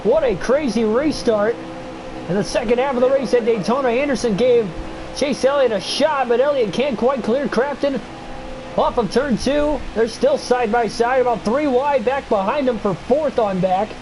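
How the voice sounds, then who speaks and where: Young male streamer, congested, voice worn; excitable play-by-play with casual asides, noisy home mic. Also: very high-pitched, moderate pace. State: stuffy nose, voice getting worn. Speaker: streamer doing race commentary. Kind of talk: play-by-play sim racing commentary.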